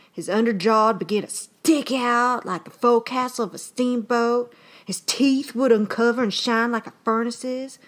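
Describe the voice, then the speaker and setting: Rambling, earnest old-time yarn-spinner played by a woman for a comic audio story; highish pitch, very animated, drawn-out rustic delivery. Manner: folksy, drawn-out, conversational storytelling in rustic dialect. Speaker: actor reading aloud. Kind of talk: dramatic reading of a comic short story.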